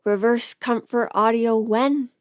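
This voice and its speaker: A woman's voice: on the high side, fairly even intonation, slow, no room sound, studio quality. A woman chatting informally on a livestream, rambling and thinking aloud as she goes. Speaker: livestream host, audio creator. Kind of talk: casual livestream chat.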